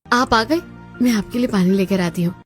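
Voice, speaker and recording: A woman voices a young, newly married daughter-in-law in a cartoon family drama. She is polite, dutiful and meek, with a medium-pitched voice over background music. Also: moderate pace, natural voice. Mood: caring.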